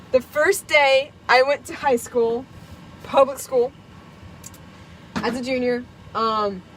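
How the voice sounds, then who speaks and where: A woman's voice: a little high, a bit bright, low-quality recording. A bubbly young woman, chatting away while she cooks in her camper. She giggles often and leans on fillers as she rambles about herself. A faint hum sits under her voice. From Kentucky, USA. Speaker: vlogger. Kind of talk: casual chat while cooking. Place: camper kitchen.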